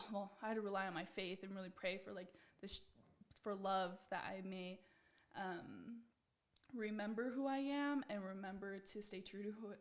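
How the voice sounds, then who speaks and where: A woman's voice: mid-range voice, steady tone, normal speed. A young American woman giving conversational interview answers in an earnest, reflective way. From United States. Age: young adult. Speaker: pageant titleholder being interviewed.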